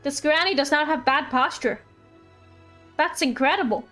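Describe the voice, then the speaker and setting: Casting: female game streamer, high voice, casual and reactive, faint game music behind. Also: moderate pace, bright, forceful tone. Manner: chatty, reactive. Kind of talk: casual live game commentary, reading dialogue aloud.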